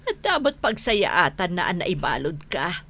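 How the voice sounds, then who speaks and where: A woman playing a poor, lonely elderly mother, humble and gently firm, in a radio drama. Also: on the low side, sing-song, a little slow, heavily acted. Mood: pleading, firm. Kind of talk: radio drama acting in Ilocano.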